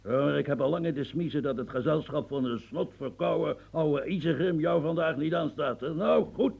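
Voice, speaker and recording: A gruff old marquis with a cold. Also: male voice, on the high side, moderate pace, darkish tone, full character voice. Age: 70s. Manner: coarse, irritable, rustic bluntness. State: snotty cold, coughing. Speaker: elderly marshal dictating. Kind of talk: radio drama acting.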